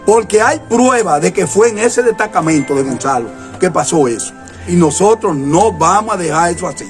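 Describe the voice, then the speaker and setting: A mayor speaks firmly in a news interview and presses his demands. His voice is high for a man. Faint music runs underneath. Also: expressive, normal speed. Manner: firm, insistent demands. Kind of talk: interview statement.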